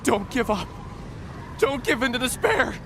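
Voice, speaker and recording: An actor playing an urgent young male ally who shouts pleading encouragement to the heroine. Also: very high voice, sing-song, measured pace, background noise, full character voice. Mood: encouraging. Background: game music.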